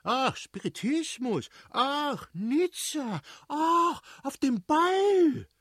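A man's voice: very high voice, very animated, extremely slow, fairly bright tone, dry recording, clean studio sound, pitched above the performer's own voice, full character voice. A man voicing an irascible aristocratic father in an audiobook, blustering and mocking as he scolds his wife. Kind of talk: dramatised audiobook reading.

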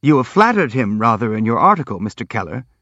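A man's voice: mid-range voice, sing-song, bright, forceful tone, no room sound, highs cut off, exaggerated voice. A man voicing an earnest, polite prince in an audiobook, frank and anxious to placate. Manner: earnest, hurried, polite pleading. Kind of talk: audiobook character acting.

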